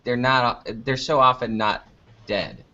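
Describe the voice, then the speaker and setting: A man's voice: medium pitch, steady tone, slow, pushed and bright. A young American man chatting casually as an interviewed podcaster, with a joking, playfully melodramatic manner. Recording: dull lo-fi call-like audio.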